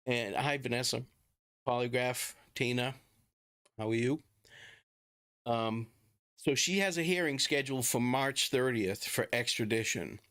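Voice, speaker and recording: A middle-aged man with a Boston accent talks casually and haltingly to viewers as a livestream host. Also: medium pitch, a bit bright, clean tone. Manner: informal, chatty, halting.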